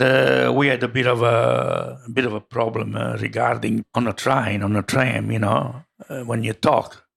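An older man with an Italian accent, recounting his migration in an oral history interview, halting with many fillers. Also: mid-range voice, vocal fry, a bit bright.

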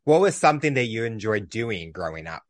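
A man's voice: on the low side, sing-song, brisk, dry recording. Man hosting a podcast, dry close sound. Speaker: podcast host. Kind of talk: podcast interviewing.

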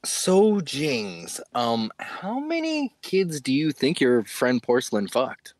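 A man's voice: medium pitch, huge pitch swings, slow, no echo. A man in a casual online voice chat, teasing and crude, prone to theatrical mimicry of other people. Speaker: co-host or guest in a live voice chat.

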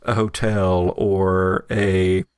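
A man working as game master, improvising descriptive second-person narration of a tabletop game in a halting way. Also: low voice, level tone, a little mellow, clean tone, dry recording, clean studio sound.

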